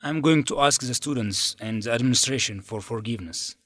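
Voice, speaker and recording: A man's voice: medium pitch, brisk, a bit bright, dry recording, natural voice. An actor playing a controlling, scheming young male student leader in an educational radio drama, defensive and insistent in manner. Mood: defensive, pleading. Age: young adult.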